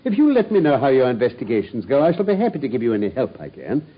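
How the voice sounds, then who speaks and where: A man's voice: medium pitch, wildly swooping pitch, fast talker, slightly rough. A man playing a brilliant, cool-headed detective who speaks with precise, ironic politeness in a radio drama. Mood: dryly polite. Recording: dull lo-fi radio broadcast.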